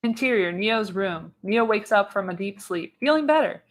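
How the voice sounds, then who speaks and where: A woman's voice: mid-range voice, lively intonation, moderate pace. A woman narrating the stage directions of a screenplay in a radio play reading. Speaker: narrator, podcast host.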